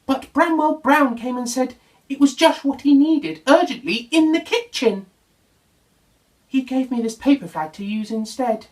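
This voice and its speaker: A man's voice: very high voice, a little slow, voice pushed up. A man voicing a sad, sighing duck in a children's storybook. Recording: voice distant from the mic.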